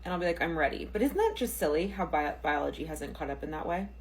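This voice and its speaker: A woman's voice: low voice, lively intonation, fast. A woman in her thirties rambling jokily to camera. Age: 30s. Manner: rambling, jokey. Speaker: social media poster. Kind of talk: casual talk to camera.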